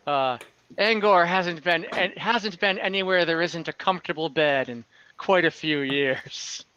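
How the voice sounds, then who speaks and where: A man's voice: fairly high pitch, a little slow, bright, forceful tone, dull audio, a little hiss or hum. A man voicing an amused, polite male elf in a tabletop fantasy game, chuckling and hesitant.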